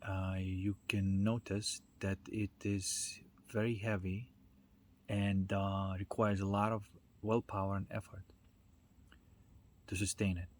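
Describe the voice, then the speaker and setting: A man giving an informal explanatory talk in a reflective, halting way, often checking in with small tag questions. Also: low voice, little pitch movement. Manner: reflective, halting delivery with checking tags like "right?" and "you know". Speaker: speaker giving a self-development talk.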